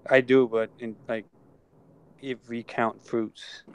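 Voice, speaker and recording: A man's voice: mid-range voice, flat delivery, slow pace, a little hiss or hum, poor audio. Chatty inquisitive man in a group call. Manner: chatty, asks lots of questions. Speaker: regular hangout participant. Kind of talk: casual online group chat.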